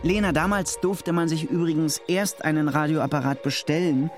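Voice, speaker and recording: Jokey, slightly bumbling male podcast co-host, played by an actor, higher register, light music underneath. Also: steady tone, normal speed, natural voice. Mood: eager, jokey. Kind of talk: scripted banter played as casual podcast chat.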